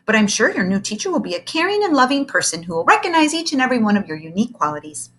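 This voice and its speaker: A frightened, jumpy male teacher, as a woman puts him on in a read-aloud story, squeaking high and stumbling over his words. The voice is bright and swings widely in pitch. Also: sing-song, very fast, a little hiss or hum. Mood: earnest. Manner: squeaky and stammering. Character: timid nervous male teacher, stammering.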